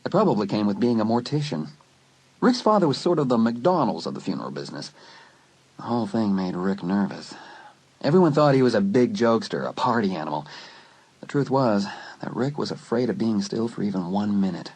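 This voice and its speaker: A man narrating a dramatised teen horror story in an expressive, dramatic storytelling manner. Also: lowish voice, fairly quick, husky, low-quality recording. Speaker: audiobook narrator.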